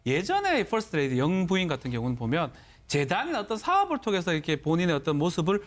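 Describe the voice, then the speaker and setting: A man commenting on a news panel in a chatty, animated way. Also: high voice, quick pace. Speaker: political commentator. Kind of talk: panel analysis.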